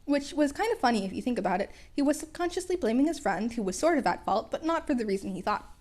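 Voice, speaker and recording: A woman narrates a story in a slightly high voice. Her delivery is casual and homemade, with playful, wry asides and the odd stumble over the words. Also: expressive, quick pace, darkish tone. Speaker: podfic reader.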